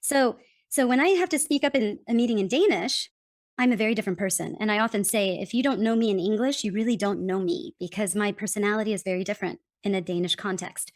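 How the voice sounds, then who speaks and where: An American woman speaking as a guest author, explaining her ideas in an animated, emphatic way. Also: medium pitch, quick pace, no room sound, studio quality. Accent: General American. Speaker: guest author and communication consultant. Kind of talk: interview answers.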